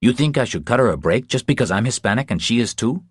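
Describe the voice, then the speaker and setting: A man's voice: medium pitch, expressive, quick pace, husky, dry recording, studio quality, pitched above the performer's own voice. A man voicing a sour, defensive male state trooper in an audiobook, curt, stubborn and dismissive. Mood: dismissive, offended.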